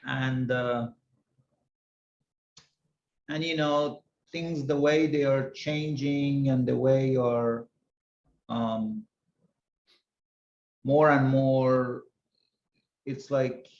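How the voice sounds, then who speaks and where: A man in casual group chat on a video call, talking slowly and haltingly in a reflective way. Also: mid-range voice, fairly even intonation, darkish tone, slight background noise. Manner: slow, halting, reflective, trailing off. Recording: echoey, muffled video call audio.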